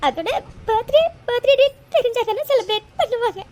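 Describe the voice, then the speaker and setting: A woman's voice: very high voice, noisy background. A woman playing a tearful young orphan woman. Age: young adult. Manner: tearful, halting, repeats words. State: crying. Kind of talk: romantic drama acting.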